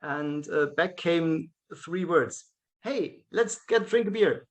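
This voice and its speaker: A man's voice: fairly high pitch, slow. A German man with a German accent, a guest speaking English as a second language in a casual video-call interview, hesitant, with frequent fillers and restarts. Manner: hesitant, many fillers and restarts, laughs often. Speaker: guest, event organizer.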